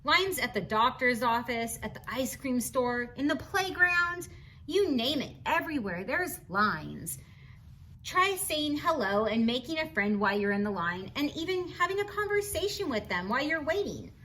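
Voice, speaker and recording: A woman's voice: sits high, big pitch swings, moderate pace, bright, forceful tone, a little hiss or hum. A woman reading a picture book aloud to young children, adding chatty asides in an encouraging, instructional manner. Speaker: children's read-aloud host.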